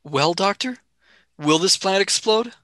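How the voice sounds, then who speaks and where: Radio play: actor as a young male companion in space. Bright, fairly high, urgent, drily impatient. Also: animated, normal speed, dry recording.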